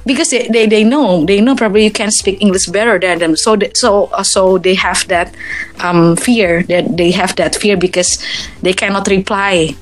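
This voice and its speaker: Indonesian woman giving advice, repeats herself a lot, soft music in the back. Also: medium pitch, normal speed, low-quality recording. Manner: earnest, many restarts and repeated words. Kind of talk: casual chat in English.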